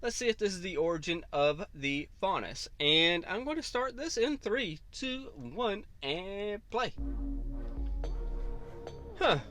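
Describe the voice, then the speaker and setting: A man's voice: high-pitched, sing-song, slow pace, bright, forceful tone, no room sound, faint music behind. A man giving casual reaction commentary as a video host, musing and hesitant, trailing off.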